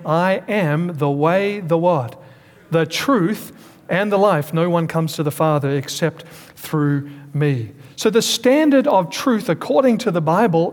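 A man preaching a Sunday sermon to a congregation, stressing key words and putting questions to the listeners. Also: a little high. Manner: preaching with emphatic pauses and calls to the congregation. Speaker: pastor. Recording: long hall reverb.

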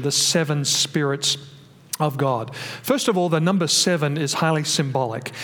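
A man preaching a sermon from his notes, explaining scripture in a teaching manner with frequent restarts, his voice carried by a microphone and speakers. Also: on the high side. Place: church hall with a sound system. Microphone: microphone through a PA system. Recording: long reverb of a hall.

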